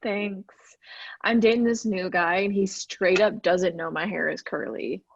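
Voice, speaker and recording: A woman's voice: mid-range voice, steady tone, a little slow, vocal fry, no room sound. An actress playing a flighty, chatty young woman in a screenplay table read, with casual conversational delivery. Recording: dull, dry remote call audio.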